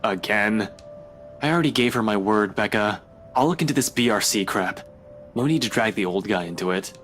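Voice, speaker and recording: A man's voice: lowish voice, lively intonation, normal speed, a bit bright, slight background noise. An actor playing a skeptical young man in a visual novel, informal and grumbling in manner. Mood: exasperated. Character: skeptical, casual young man, reluctant ex-companion.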